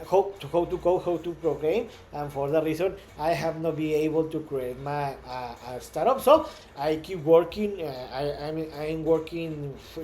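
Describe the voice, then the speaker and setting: A man from Mexico, a native Spanish speaker with a Mexican accent, talking about his startup in a halting way with many repeated words and restarts. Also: fairly high pitch, warm tone, slight background noise. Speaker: startup founder. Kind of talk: casual monologue.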